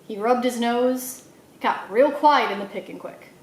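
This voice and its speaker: A woman reading a children's novel aloud in an expressive storytelling style, acting out the dialogue as she goes. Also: a little high, normal speed, roomy sound. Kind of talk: animated read-aloud narration for children.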